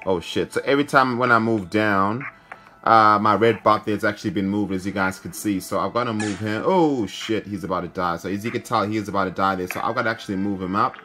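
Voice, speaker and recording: A man's voice: on the low side, fast talker, pushed and bright, faint music behind. A man hosting a gaming video, giving casual commentary as he plays. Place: indoor room, filming a handheld phone.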